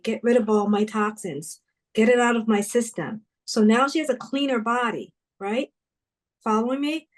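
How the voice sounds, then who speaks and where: A woman speaking as a guest doctor, explaining step by step and checking that listeners follow. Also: a little high. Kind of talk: explaining to an audience.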